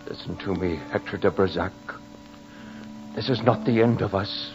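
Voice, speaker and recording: An actor playing a haughty, vengeful young aristocrat in old radio horror drama, with deliberate, theatrical diction. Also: male voice, sits low, lightly acted. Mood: dying, bitter. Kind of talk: 1940s-50s radio horror drama acting. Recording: muffled old-time radio recording with music.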